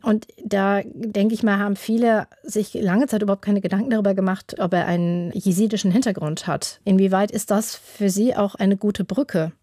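A woman's voice: mid-range voice, steady tone, moderate pace, hardly any pauses, warm tone, no room sound. Composed female news presenter, dry sound. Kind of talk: broadcast interview and news presentation.

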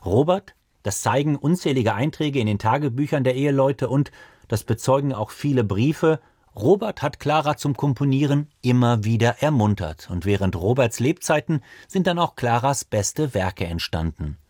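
A man's voice: medium pitch, animated, normal speed, darkish tone, no room sound, studio quality. A man presenting a music programme on the radio, reading scripted narration.

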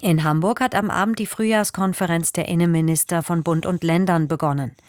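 A woman reading the news on the radio in a measured, formal way. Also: lowish voice, fairly even intonation, a little mellow, dry recording, studio quality. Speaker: newsreader. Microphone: studio microphone.

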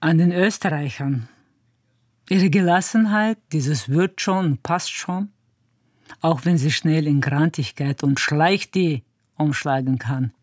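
A woman answering interview questions in composed, measured phrases. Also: deep voice, darkish tone, no room sound, studio quality.

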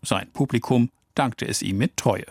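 A man reading scripted documentary narration in a measured, even way. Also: medium pitch, breathy edge, no room sound. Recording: dry studio voice-over.

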